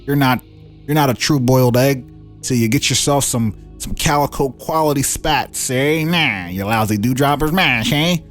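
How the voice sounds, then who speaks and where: A man's voice: mid-range voice, moderate pace, faint music behind, voice pushed up, natural voice. A man voicing a cocky old-time mobster tough guy, drawling wiseguy slang and tacking on "see" and "eh". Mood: swaggering.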